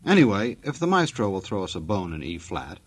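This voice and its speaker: A man's voice: lowish voice, very animated, fairly quick. An American man working as a 1940s radio announcer, narrating in a folksy, tongue-in-cheek, wisecracking way.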